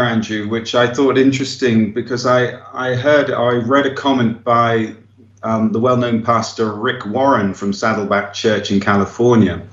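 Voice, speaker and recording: An English missionary pastor being interviewed remotely, speaking earnestly and reflectively, often chuckling as he talks. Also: mid-range voice. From England. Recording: roomy, muffled remote line.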